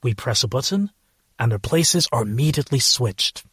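An actor playing a purposeful alien inventor who explains things formally, in an audio drama. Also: male voice, mid-range voice, lively intonation, normal speed, fairly bright tone, slightly rough, no echo, clean studio sound. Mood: businesslike.

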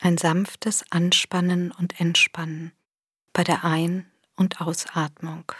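Woman doing guided meditation, really slow n soft, lowish breathy voice, gentle. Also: level tone, no echo, clean studio sound. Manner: gentle, soothing, unhurried instruction with long pauses. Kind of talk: guided body scan meditation, scripted narration.

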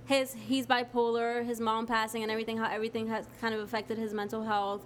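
Brief: young woman podcast co-host from the Dominican Republic, outspoken and candid, voice on the higher side. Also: flat delivery, fast talker, slight background noise. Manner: candid, outspoken, swears freely. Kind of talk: casual live podcast chat.

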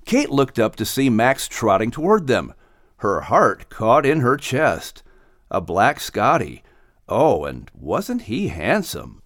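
A man working as announcer and narrator, giving animated scripted storytelling for children. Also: mid-range voice, slow pace, dry recording, clean studio sound.

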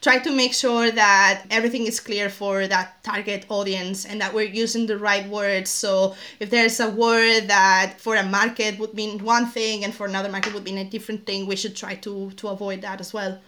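A woman guest in an interview explaining her work, pausing often with fillers and restarts. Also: mid-range voice, little pitch movement, bright, forceful tone. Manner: hesitant, many fillers and restarts. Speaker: podcast guest, SEO specialist. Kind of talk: interview answers.

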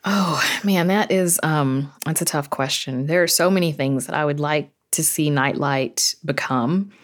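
A woman's voice: sits low, unhurried. A woman speaking as an interview guest, explaining her thoughts casually and peppering them with "you know.". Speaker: podcast creator and narrator, interview guest.

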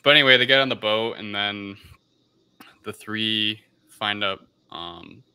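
A man co-hosting a casual film review podcast, speaking in a hesitant, halting way as he searches for words. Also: fairly low pitch, bright, forceful tone, smooth. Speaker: podcast co-host.

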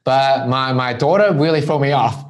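Hong Kong-raised male entrepreneur guest, chatty storyteller, keeps asking "right?", mid-pitched voice. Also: normal speed. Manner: relaxed storytelling with frequent "right?" tags. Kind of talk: conversational interview.

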